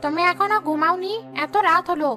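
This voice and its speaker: A woman's voice: very high voice, fairly quick, sharp tone, faint music behind. A woman playing a concerned, practical village wife in a cartoon story.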